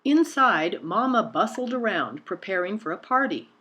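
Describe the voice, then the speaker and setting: Woman, mid-pitched, storybook narration, scripted read-aloud for children. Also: very animated, normal speed, pushed and bright. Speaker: picture book reader.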